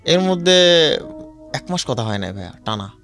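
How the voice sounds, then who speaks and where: A Bangladeshi man telling a personal story conversationally, haltingly and with repeated phrases, often checking that he is followed. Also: on the low side, sing-song. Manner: repetitive, halting telling with frequent check-in questions. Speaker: guest telling his life story. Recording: music behind the voice. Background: background music.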